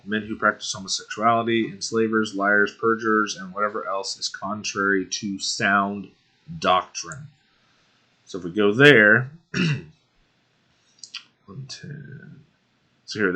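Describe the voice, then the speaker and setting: Young male host, casual Bible-study walkthrough, bright lowish voice, throat-clearing, unhurried. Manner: unhurried, often clears his throat, says um.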